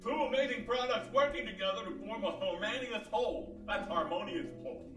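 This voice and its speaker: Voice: mid-range voice, brisk, close to an everyday voice. A performer playing an exuberant, easily sold football fan in a radio comedy commercial, with exaggerated theatrical enthusiasm. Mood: awestruck. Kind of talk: old-time radio comedy acting. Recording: roomy live stage, distant mic, loud music.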